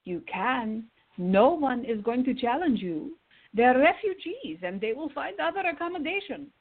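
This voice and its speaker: Radio play role: loyal but bossy older woman voiced by an actress, husky, very animated, forever exasperated. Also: sits high, normal speed, phone-quality sound, exaggerated voice. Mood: urging, indignant. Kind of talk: radio theater acting.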